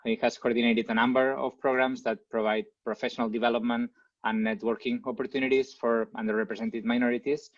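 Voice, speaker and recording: Halting male host, dull dry call audio. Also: mid-range voice, flat delivery, dry recording. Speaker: seminar host introducing the speaker. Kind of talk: semi-formal spoken introduction. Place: remote video call.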